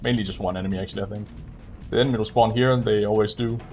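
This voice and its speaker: A man giving casual live commentary on a game as a streamer, explaining things in a low-key way. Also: fairly even intonation, racing, darkish tone. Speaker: streamer speedrunner. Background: game music.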